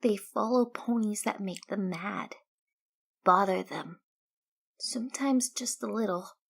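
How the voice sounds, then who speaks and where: A woman voicing an odd female pony in a storybook, giving halting, cryptic warnings in simple, childlike phrasing. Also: mid-range voice, vocal fry, husky, full character voice. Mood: ominous, earnest.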